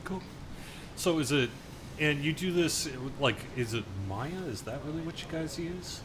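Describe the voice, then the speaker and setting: A man's voice: fairly high pitch, lively intonation, moderate pace, background noise. A man hosting a casual interview, asking chatty questions full of fillers. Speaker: interviewer, community host.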